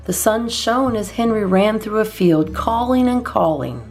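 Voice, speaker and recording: A woman reading a children's storybook aloud as a storytime host, expressively and in measured phrases with deliberate pauses. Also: medium pitch, a little mellow, soft background music.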